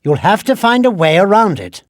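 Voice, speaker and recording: An older British quartermaster in a video game, high in pitch and swooping in intonation. He is pompous and exasperated, nagging in clipped, sardonic phrases. The sound is close and dry. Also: sing-song, moderate pace, no echo. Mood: haughty briefing. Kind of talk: scripted video game mission briefing.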